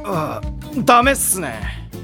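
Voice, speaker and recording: An actor playing a brash, easily shocked high school boy with over-the-top reactions in a Japanese anime audio drama. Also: huge pitch swings, slow, creaky, heavily acted. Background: background music.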